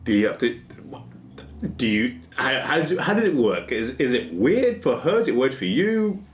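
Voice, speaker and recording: A man's voice: medium pitch, big pitch swings, normal speed, a little hiss or hum. A man in his forties musing casually on a dating question in a rambling, self-amused way, restarting his sentences often. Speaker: vlogger. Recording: echoey room.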